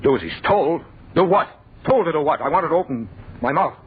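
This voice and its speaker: An actor playing a bewildered, frightened young man who tells his nightmare in halting, intense old-time radio narration, repeating words in disbelief. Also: on the high side, lively intonation, slight background noise, natural voice. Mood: fearful, agitated. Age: young adult. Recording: narrow, muffled old radio broadcast.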